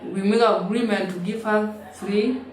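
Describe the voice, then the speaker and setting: Woman, halting, distant and echoey. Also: mid-range voice, steady tone, a little mellow. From South Sudan. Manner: halting, simple non-native English, with frequent restarts. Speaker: interviewee, refugee. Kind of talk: personal testimony in an interview. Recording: roomy, distant mic, noisy ambience.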